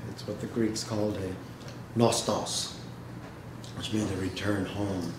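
A man giving a dramatic literary reading and talk to an audience, speaking in a measured way with pauses. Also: fairly low pitch, fairly even intonation. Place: indoor hall, seated before an audience. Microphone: microphone on a stand, recorded by a distant camera. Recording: echoey room, noisy background.

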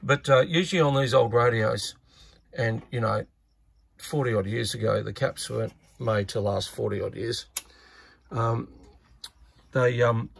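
A man's voice: medium pitch, measured pace, gravelly, long pauses, slightly rough. A man giving casual, hands-on repair commentary in a plainspoken, hesitant way, as if thinking aloud while he works. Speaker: radio repair hobbyist presenter. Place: indoors at an electronics workbench.

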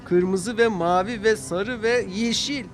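A man voicing an eager, excitable young steam engine in a children's story, exclaiming in a hurried, breathless way. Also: high voice, slight background noise, voice pushed up. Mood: amazed, eager.

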